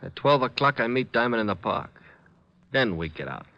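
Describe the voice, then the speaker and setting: An actor playing a mocking, vengeful gangster kidnapper with a gravelly voice in an old radio crime drama, on a dull recording. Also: male voice, mid-range voice, animated, moderate pace, bright, forceful tone, no room sound. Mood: bossy, threatening.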